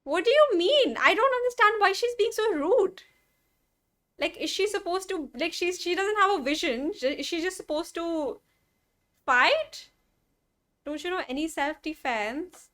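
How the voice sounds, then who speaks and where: A woman's voice: very high voice, lively intonation, unhurried, pushed and bright. A woman streamer giving casual, emotive, exclamatory reactions while playing a game. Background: game music and dialogue.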